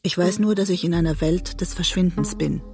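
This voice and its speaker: An actress playing a guilt-ridden, disillusioned wife and writer, narrating introspectively in a literary radio drama. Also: female voice, on the low side, darkish tone, soft background music, close to an everyday voice. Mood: bleak, estranged. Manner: introspective, measured storytelling. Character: disillusioned, guilt-ridden woman writer trapped in a bitter marriage.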